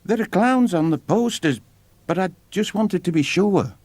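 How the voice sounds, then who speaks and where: A man's voice: sits high, expressive, normal speed, darkish tone, dry recording, pitched above the performer's own voice. An actor voicing a small, curious boy in a storybook reading, polite, earnest and a little hesitant. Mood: eager, earnest.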